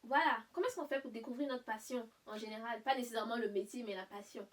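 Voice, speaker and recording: A young woman hosting a casual interview, asking probing follow-up questions whose pitch climbs as she presses. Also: fast, distant mic. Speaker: host.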